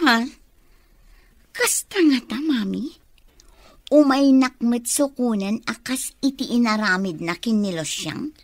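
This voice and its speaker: A woman's voice: a little high, takes it slowly, long pauses, dry recording. A woman with a Filipino accent playing an eager young secretary and obedient daughter in a radio comedy drama. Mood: puzzled.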